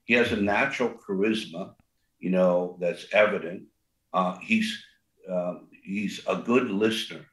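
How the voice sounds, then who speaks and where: A man's voice: sits low, unhurried, fairly bright tone, reverberant, low-quality recording. An older man, a restaurant co-founder, talks in a rambling way with many fillers and restarts and a big voice. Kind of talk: casual interview talk.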